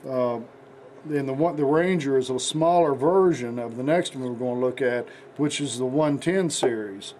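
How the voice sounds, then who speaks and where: A man with a hoarse, raspy voice from the flu, hosting a casual knife show with rambling talk and many fillers. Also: medium pitch, moderate pace, darkish tone, slight background noise, low-quality recording. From United States. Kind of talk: casual product demonstration.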